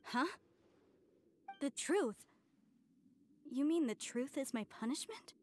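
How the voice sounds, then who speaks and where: A woman's voice: sits high, lively intonation, takes it slowly, a little mellow, slight background noise. A woman playing a devout, proud young woman in a video game, with a dramatic, earnest delivery. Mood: shaken, defiant. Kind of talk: English video game voice acting.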